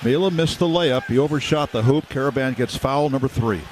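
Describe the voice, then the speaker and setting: A man's voice: mid-range voice, a little fast, rough recording. A man giving brief, factual color analysis on a live basketball radio broadcast. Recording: courtside with loud crowd and gym noise. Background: crowd and arena ambience.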